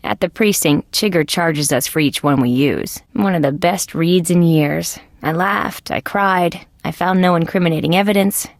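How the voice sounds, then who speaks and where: An actress playing a wry, level-headed female insect detective in a scripted video game, with a deadpan, dryly sarcastic manner. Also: on the low side, normal speed, hardly any pauses, no room sound, studio quality, close to an everyday voice. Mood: dry, sardonic. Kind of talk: scripted adventure game voice lines.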